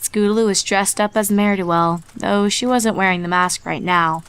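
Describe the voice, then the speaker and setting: A woman narrates a dark fan story at a mid pitch. She reads in a measured way and drops to a hush for the eerie parts. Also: clean tone, dry recording. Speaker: reader.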